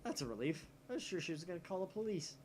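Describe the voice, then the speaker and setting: A man voicing a paranoid, theatrical young male mad scientist from a visual novel, excitable and suspicious. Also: high-pitched, quick pace, background noise, pitched above the performer's own voice. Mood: defensive. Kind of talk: visual novel read aloud in character.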